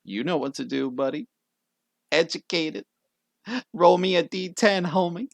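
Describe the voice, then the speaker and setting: A man's voice: fairly high pitch, a little slow, gravelly, no room sound. A man running a tabletop game as game master, casual, swearing and laughing often.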